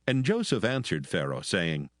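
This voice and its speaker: Low, expressive male scripture narrator. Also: normal speed, no room sound. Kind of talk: dramatized audio Bible narration. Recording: dry, with music behind.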